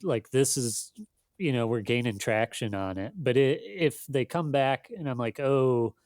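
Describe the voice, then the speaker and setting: A man speaking as an interviewed editor, casual and halting, thinking aloud with lots of conversational fillers. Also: mid-range voice, a little mellow, no echo, studio quality. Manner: thinking aloud, halting, peppered with "you know" and "like". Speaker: magazine editor being interviewed.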